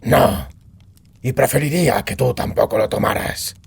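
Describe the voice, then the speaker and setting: A man playing a gruff, guarded monster hunter in a fantasy audiobook, terse and dry, with a gravelly, husky voice. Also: mid-range voice, moderate pace, exaggerated voice. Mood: stern, dry. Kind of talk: acted audiobook dialogue.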